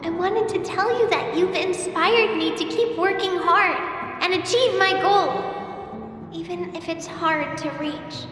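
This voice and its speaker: Echoing, very high little girl. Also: unhurried. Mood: earnest, hopeful. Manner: earnest, hopeful, bashful. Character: sick little girl, earnest starry-eyed young fan. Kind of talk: video game voice acting, reading a letter aloud. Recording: long echoing reverb, music behind. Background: clear music.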